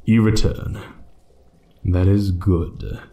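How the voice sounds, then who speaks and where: Man voicing a deep, dark-voiced, menacing dragon. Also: steady tone, vocal fry, exaggerated voice. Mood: menacing, playful. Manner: slow, theatrical, condescending, with hushed moments. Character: ancient male dragon, playful, menacing hoard guardian toying with intruder. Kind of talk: fantasy ASMR roleplay monologue.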